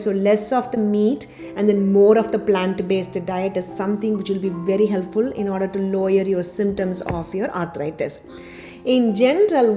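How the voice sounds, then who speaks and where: A woman doctor giving a health talk to her channel's viewers, her voice a little raspy after a cold, steadily listing points one by one. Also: medium pitch. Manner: steady explanatory delivery, lists items one by one. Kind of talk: informal health talk to camera. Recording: faint background music.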